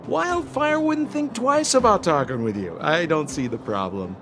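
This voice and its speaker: Shifty young game con man, lively swooping pitch, wheedling salesman patter, music behind. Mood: persuasive, glib. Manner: theatrical, exaggerated, fast-talking salesman patter. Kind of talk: video game voice acting.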